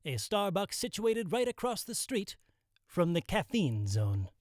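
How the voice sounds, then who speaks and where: A man plays an eerie anthology narrator in a radio play. His high voice swoops theatrically through grand, portentous lines, recorded close and dry. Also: wildly swooping pitch, measured pace, no echo, exaggerated voice. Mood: ominous, grand. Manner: portentous, dramatic. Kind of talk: 1960s TV anthology-style scripted narration.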